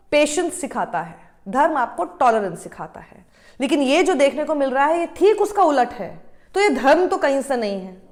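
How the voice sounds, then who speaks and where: A woman in her thirties giving argumentative news commentary to camera, switching between Hindi and English. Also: sits high. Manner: argumentative, exasperated, mixing Hindi with English phrases.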